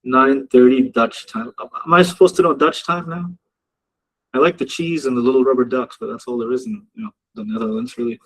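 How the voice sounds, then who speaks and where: A man with a gravelly, husky voice runs a relaxed live session on the markets. He jokes with his viewers and laughs often. His talk wanders easily from the charts to small talk. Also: mid-range voice, a little fast, low-quality recording. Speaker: trading mentor hosting a live session. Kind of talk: casual live-stream commentary. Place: home office in Dubai.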